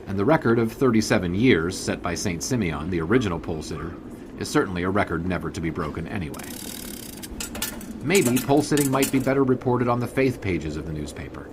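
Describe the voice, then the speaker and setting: An actor playing a dry-humored 1920s sports writer, narrating in first person in a radio-style audio drama. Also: male voice, sits low, lively intonation, fairly quick, noisy background. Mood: wry. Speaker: narrator and lead character.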